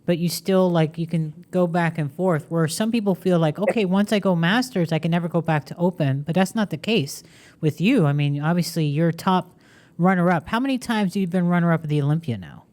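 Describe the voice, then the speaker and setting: A woman interviewer in a casual chat, teasing and quick with her follow-up questions. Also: on the low side, darkish tone. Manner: chatty, teasing, asks quick follow-ups.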